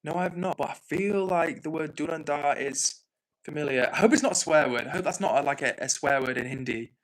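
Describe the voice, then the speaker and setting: A man's voice: a little high, a little fast, fairly bright tone, rough recording. A young man from England hosting a livestream, chatting casually and self-deprecatingly while reading out viewer comments. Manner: chatty, self-deprecating, apologetic, laughs often. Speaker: livestream host.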